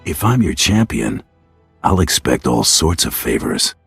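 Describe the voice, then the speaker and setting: An actor playing a cocky, hard-bitten bounty hunter in a video game, terse and dryly sardonic, with a gravelly voice. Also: male voice, very deep voice, big pitch swings, unhurried, faint music behind, heavily acted. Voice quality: gravelly, raspy. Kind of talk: video game cutscene acting.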